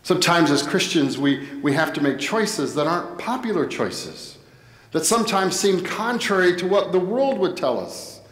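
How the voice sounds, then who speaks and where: Middle-aged American male preacher, slightly high-pitched, deliberate phrasing, recorded in a reverberant church. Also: sing-song, a bit bright. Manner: deliberate, short phrases with pauses. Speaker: pastor. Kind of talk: sermon. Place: indoor church, at a pulpit. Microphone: headset microphone. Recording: echoey church with long reverb.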